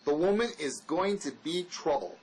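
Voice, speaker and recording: An actor playing a wary, blunt escaped convict in a drama, speaking in an argumentative, insistent manner. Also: male voice, on the high side, very animated, normal speed, darkish tone, poor audio. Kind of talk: dramatic dialogue reading.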